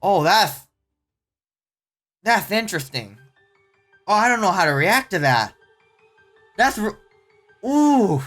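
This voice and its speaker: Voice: medium pitch, sing-song, slow pace, long pauses, fairly bright tone, faint music behind. Casual host reading horror stories aloud. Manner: stumbling, self-correcting reading aloud; easily startled, exclaiming reactions.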